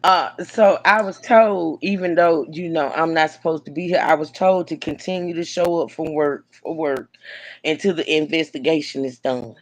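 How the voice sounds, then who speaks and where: A man's voice: a little high, fairly even intonation, moderate pace, no room sound. A man talking casually and hesitantly, trailing off as he explains. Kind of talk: casual talk.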